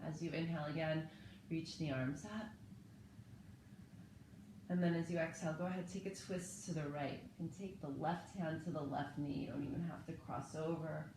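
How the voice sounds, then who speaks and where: A woman in her thirties with a low, slightly hoarse voice gently leads a home yoga session. She cues each inhale and exhale calmly and unhurriedly. The camera sits on the floor of an echoey living room, with a faint hiss behind her. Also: moderate pace. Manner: calm, gentle cueing with breath. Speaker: yoga and meditation teacher. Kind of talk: guided yoga instruction.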